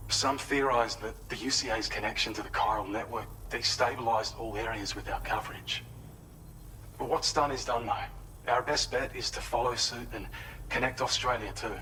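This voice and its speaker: A composed male scientist in a game cutscene. His bright, forward voice explains things in measured, formal sentences, with faint noise underneath. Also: on the low side, rough recording. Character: composed male scientist giving a briefing over comms. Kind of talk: video game cutscene briefing.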